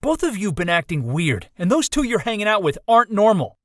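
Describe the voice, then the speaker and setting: A man's voice: high-pitched, big pitch swings, a little fast, no echo, clean studio sound. A young man playing an earnest, skeptical college student in a visual novel. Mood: defensive.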